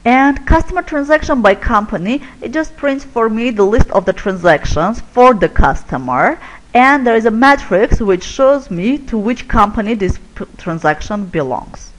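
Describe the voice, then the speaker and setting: A woman presents business software in a webinar, guiding listeners through each screen in a steady, step-by-step way. Her pitch rises and falls freely across sentences. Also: normal speed, rough recording.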